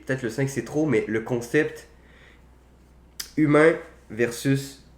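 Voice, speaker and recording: A man's voice: medium pitch, slow pace. A young man chats casually about films, opinionated and slangy, with mild swearing. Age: young adult. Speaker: podcast co-host. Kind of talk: casual film chat. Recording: roomy echo, faint background noise.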